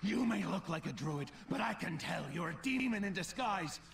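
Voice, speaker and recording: An actor playing a paranoid, frantic druid gone mad in a fantasy video game, ranting between mutters and shouts. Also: male voice, high-pitched, expressive, moderate pace, vocal fry, echoey room, a little hiss or hum, full character voice. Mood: suspicious.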